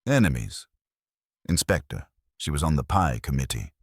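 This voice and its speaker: A man's voice: rumbling low, sing-song, normal speed, breathy edge, no room sound, studio quality, heavily acted. A man reading the part of a brittle, prim bereaved woman in a mystery story, with clipped answers. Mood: prim, guarded.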